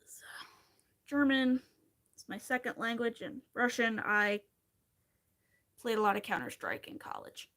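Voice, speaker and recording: A woman from Pennsylvania, somewhere in her thirties, hosting a crochet livestream. Her voice is bright and a little high, and she talks in a loose, rambling way with wry asides as she answers viewers between stitches. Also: lively intonation, a little slow, pauses often. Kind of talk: casual chat while crocheting on a livestream.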